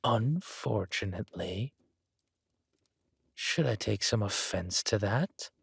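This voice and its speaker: A man voicing a low, slow, imposing villain king. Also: animated, no room sound, full character voice. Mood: quietly menacing. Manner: smooth, measured, quietly threatening courtesy. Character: suave menacing male fantasy king, softly imposing. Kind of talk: villain roleplay audio drama.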